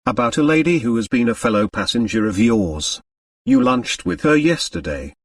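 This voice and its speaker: A man's voice: medium pitch, very animated, moderate pace, slightly rough, no echo, studio quality, recorded from a distance. A man voicing a pompous, self-important French police commissary, formal and officiously courteous, in an audiobook.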